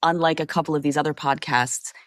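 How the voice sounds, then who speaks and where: A woman's voice: sits low, fairly even intonation, fast talker, dry recording, clean studio sound. A woman hosting a podcast interview, speaking in a friendly, conversational and affirming manner. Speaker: podcast host. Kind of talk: hosted interview.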